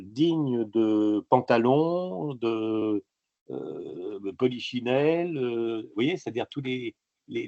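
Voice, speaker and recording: Male author guest, husky and low, rambling anecdotes full of hesitations, dry lo-fi sound. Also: darkish tone, no room sound. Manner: halting, digressive storytelling full of filler sounds. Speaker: author being interviewed. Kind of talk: casual interview talk.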